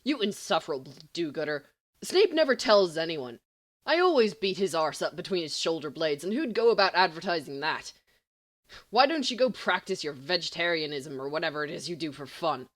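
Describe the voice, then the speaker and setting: A woman's voice: low-pitched, very animated, fairly quick, voice pushed down. A woman voicing a hot-tempered teenage schoolboy in an audiobook, snapping and exclaiming with scorn. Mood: scornful.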